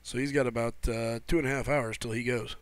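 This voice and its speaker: A man's voice: medium pitch, fairly even intonation, brisk, dry recording. A man hosting a live game stream, chatting casually and reading viewers' messages aloud, his throat sore from a cold. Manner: laid-back, greets viewers by name as buddy and brother. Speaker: livestream host.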